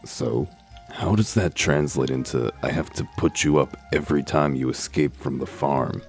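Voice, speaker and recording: An extremely deep, gravel-throated wolf detective acted by a man, cynical and blunt. He delivers every line deadpan, as a humorless investigator in a dramatic comic dub. Soft music plays underneath. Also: normal speed. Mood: gruff, impatient.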